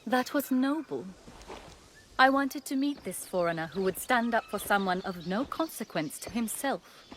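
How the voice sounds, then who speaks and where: A woman's voice: on the high side, lively intonation, normal speed, slight background noise, close to an everyday voice. An actress playing a composed, gracious young noblewoman in a video game, speaking formally. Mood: approving. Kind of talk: dramatic video game acting.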